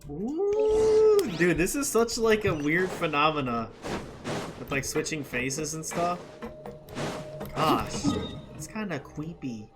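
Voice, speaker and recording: A woman reacts to a game in a slangy, playful way. Her voice is on the low side but very animated, and loud music runs behind it. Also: takes it slowly. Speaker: gameplay streamer. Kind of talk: casual reaction commentary.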